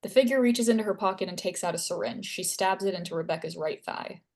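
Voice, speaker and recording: A woman's voice: medium pitch, fast. A woman narrating stage directions from a screenplay at a table read.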